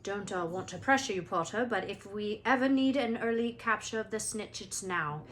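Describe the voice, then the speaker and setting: An earnest schoolboy team captain from a storybook, voiced by a woman. He urges in a hurried, pressing way, with some noise in the recording. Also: medium pitch, lively intonation, a bit bright, close to an everyday voice. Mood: tense urging.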